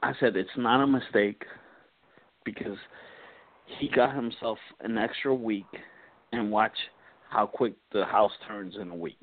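A man's voice: on the low side, measured pace, long pauses. A man calling in to a talk show, eager and tumbling over his words, repeating himself as he goes. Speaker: caller. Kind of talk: call-in chat. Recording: tinny phone line.

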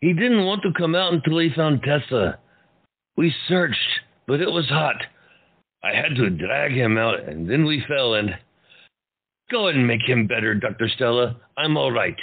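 A man voicing a gravelly gentle giant. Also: mid-range voice, expressive, measured pace. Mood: pleading. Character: big gentle burnt male orderly, pleading. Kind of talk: amateur audio drama acting. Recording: tinny phone-like sound, faint music.

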